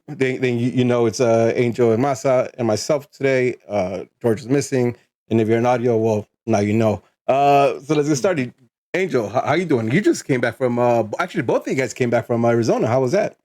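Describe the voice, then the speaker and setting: Man, podcast host, casual chat, stumbles over words, mid pitch. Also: expressive, fairly quick, darkish tone. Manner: stammering, repeats words.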